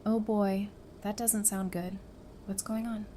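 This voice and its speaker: A woman playing a caring, casual survivor in an audio drama, speaking in an expressive, conversational way. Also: mid-range voice, slow pace, lightly acted. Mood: casual concern. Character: caring, casual, warm-hearted female survivor. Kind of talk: fan audio drama acting. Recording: clearly noisy background ambience.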